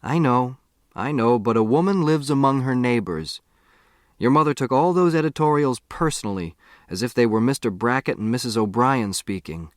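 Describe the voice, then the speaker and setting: A man's voice: mid-range voice, expressive, moderate pace, no echo, natural voice. A hearty pioneer father, voiced by a man narrating a novel, proud and stubborn. He speaks emphatically and declaims his points, in a dry, close recording. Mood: explaining.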